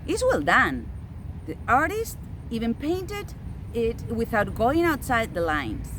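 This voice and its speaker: A woman's voice: on the high side, wildly swooping pitch, slow, fairly bright tone, exaggerated voice. A woman voicing a spirited, chatty activist in a children's book read-aloud, recorded outdoors by a street. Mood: eager. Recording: street and car noise behind.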